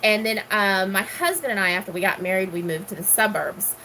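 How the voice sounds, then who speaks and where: Bright-voiced chatty woman. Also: medium pitch, brisk, a little hiss or hum, low-quality recording. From Houston, Texas. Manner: chatty and rambling, many ums and let's sees. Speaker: gardening vlogger. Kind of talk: casual chat answering questions.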